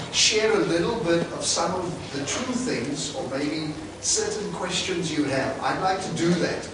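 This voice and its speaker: Chatty South African man in an echoey classroom. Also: on the high side. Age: 40s. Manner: encouraging, chatty, laughs between points. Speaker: guest speaker and English teacher. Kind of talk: classroom talk. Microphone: distant camera mic. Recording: echoey room from far off, noisy.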